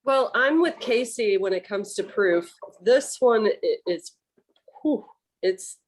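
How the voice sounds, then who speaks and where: A woman's voice: medium pitch, animated, slow pace, slight background noise. A woman guest at a tasting who gives frank, joking remarks in casual chat.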